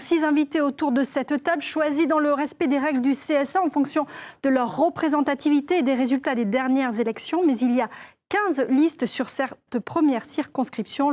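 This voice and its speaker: A woman runs a regional television debate with a notably high voice. She introduces each guest in a clear, measured way, the tone of a practiced broadcast presenter. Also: darkish tone.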